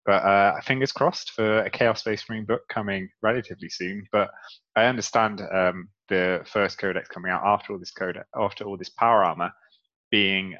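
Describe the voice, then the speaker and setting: A man's voice: on the low side, steady tone, moderate pace, a bit bright, highs cut off. A man co-hosting a casual podcast, talking with many ums as he explains game lore at length. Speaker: podcast co-host.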